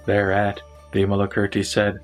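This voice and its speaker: A man reciting a Buddhist scripture as a formal, measured narrator over background music. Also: lowish voice, completely flat delivery.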